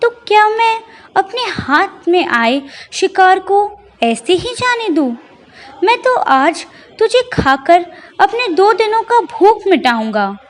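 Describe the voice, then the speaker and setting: Hungry menacing lion done by a lady storyteller, slow and deliberate, lively swings in pitch. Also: big-room sound, heavily acted. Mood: mocking, hungry. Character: hungry, threatening male lion, finally revealed as divine.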